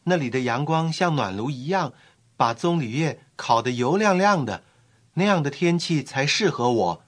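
A male actor playing a small, kindhearted, loyal swallow in a fairy-tale drama, speaking in an earnest, soft-hearted way. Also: a little high, animated, normal speed. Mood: eager. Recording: faint music bed. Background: faint music.

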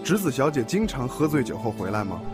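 A man playing a composed police detective in a radio drama, questioning in a measured, formal way. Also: medium pitch, sing-song, close to an everyday voice. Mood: calm, probing. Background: music.

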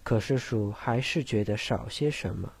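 A man's voice: on the low side, moderate pace, gravelly, a little mellow, husky, no echo. A man giving scripted narration for an audio drama, in a literary storytelling style.

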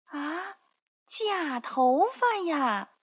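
A woman's voice: high voice, big pitch swings, drawn-out words, lo-fi sound, voice pushed up, heavily acted. A woman voicing a curious, plucky lame cat in a fairy tale, exclaiming and wondering aloud. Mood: amazed.

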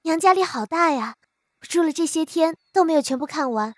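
A woman plays a sweet young girl in a period audio drama. Her voice is very high and closely, dryly recorded. She sounds innocent and easily excited. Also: a little fast, no echo. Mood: eager. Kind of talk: Chinese costume audio drama acting.

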